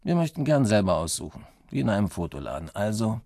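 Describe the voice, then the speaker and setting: A man's voice: lowish voice, sing-song, quick pace, vocal fry, a little mellow, no echo, studio quality, heavily acted. An actor playing a menacing, mocking male thug interrogating someone in a radio crime drama, with false courtesy. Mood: impatient, threatening.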